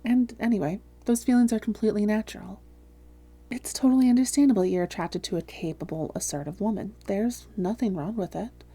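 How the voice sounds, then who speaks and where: A woman playing a calm female vampire therapist in a roleplay audio, speaking softly, gently and in a measured, reassuring way. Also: mid-range voice. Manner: measured, gentle, reassuring, breathy hushed phrases. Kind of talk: soft-spoken roleplay audio, therapist addressing listener.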